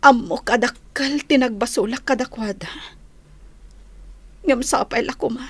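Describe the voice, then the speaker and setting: An actress with an Ilocano accent playing a remorseful young mother who slowly pleads for forgiveness in a radio drama. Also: fairly high pitch, fairly bright tone, husky, no room sound. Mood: pleading. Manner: pleading, hesitant, slow. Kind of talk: 1990s-style AM radio drama acting.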